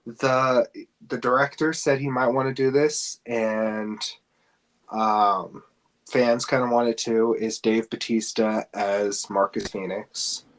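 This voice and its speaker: Man, podcast co-host, mid-pitched voice, informal, slightly hesitant, conversational. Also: steady tone, slow pace, a bit bright. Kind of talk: casual chat about games and film casting.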